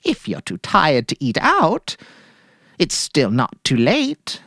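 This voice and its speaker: A woman's voice: low voice, huge pitch swings, slow pace, creaky, fairly bright tone, no room sound, studio quality, heavily acted. Woman acting a doting wife, swooping anxious voice. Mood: eager, solicitous. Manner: coaxing, solicitous. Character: devoted, anxious, doting housewife of a policeman. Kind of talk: dramatic short story reading.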